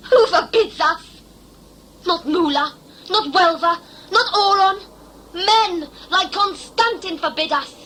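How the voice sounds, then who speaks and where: Woman playing high, bright, passionately defiant young woman. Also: takes it slowly, slight background noise, exaggerated voice, rough recording. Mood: defiant, impassioned. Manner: intense, formal, dramatic. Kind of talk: sci-fi audio play acting.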